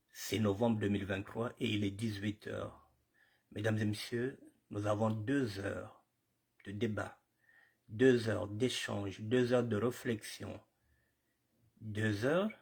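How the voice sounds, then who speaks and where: A man's voice: lowish voice, gravelly, darkish tone, slightly rough. A man with a cold hosting a live commentary show, greeting viewers formally and repeating himself, with Lingala phrases mixed in. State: has a cold. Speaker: online show host.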